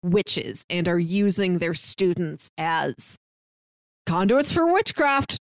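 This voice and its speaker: A woman's voice: lowish voice, sing-song, takes it slowly, dry recording. Woman co-hosting a podcast, dry close sound. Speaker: podcast co-host. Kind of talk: casual film discussion.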